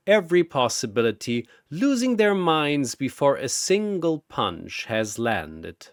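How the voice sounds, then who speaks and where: A man reading scripted fantasy battle narration in a theatrical, deliberate manner, like an arena announcer. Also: mid-range voice, no room sound, clean studio sound.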